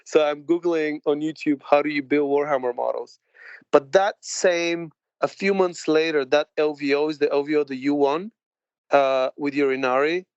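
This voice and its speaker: A man from Bulgaria in his late thirties, a podcast guest telling his story in a chatty, laughing way with halting restarts. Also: on the high side, fairly even intonation, clean tone, dry recording, highs cut off. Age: late 30s. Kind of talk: casual interview chat.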